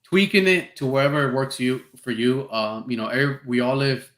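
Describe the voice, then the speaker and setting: A man from South Florida hosting a podcast, speaking in a relaxed, hesitant way with frequent "um" and "you know". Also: mid-range voice, moderate pace. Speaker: podcast host. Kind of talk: casual podcast interview. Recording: echoey room.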